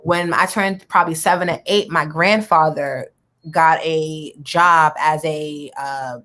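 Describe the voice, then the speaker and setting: A woman's voice: deep voice, steady tone, slow pace, fairly bright tone. A woman in her thirties from New York City, a radio interview guest telling her life story in an earnest, candid way with playful asides.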